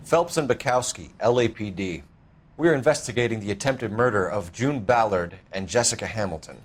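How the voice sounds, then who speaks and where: An actor playing a stern male police detective in a 1940s noir video game, pressing with cool, steady questions. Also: on the low side, moderate pace, a little hiss or hum, natural voice. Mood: probing.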